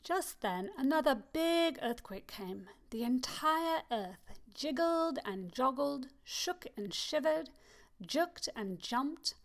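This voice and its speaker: A woman reading a children's picture book aloud, expressive and slowing down on key phrases. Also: high voice. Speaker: storybook reader. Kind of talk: children's story read-aloud.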